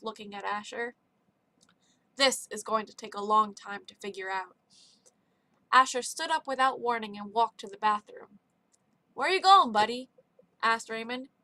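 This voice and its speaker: A woman narrates a dark story in a bright, slightly high voice. The sound is dry and close to the mic. She reads with emotion, leaning into each character's lines. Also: no echo. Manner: emotive, dramatic reading. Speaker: audiobook reader.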